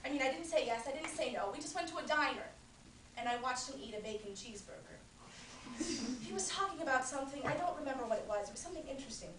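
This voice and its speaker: A woman's voice: sits high, animated, fast, fairly bright tone, a little hiss or hum, pitched above the performer's own voice. A woman playing a young woman who recounts an odd affair with dry amusement, recorded from a distance in a roomy space. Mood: wry. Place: room at a performance.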